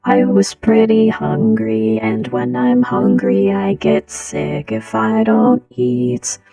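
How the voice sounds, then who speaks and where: Slow, creaky woman telling stories. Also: on the high side, very animated, mellow, far from the mic, dull audio, faint music behind. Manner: slow, giggly delivery through a voice changer. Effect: voice changer. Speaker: storyteller, content creator. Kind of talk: casual humorous personal storytelling.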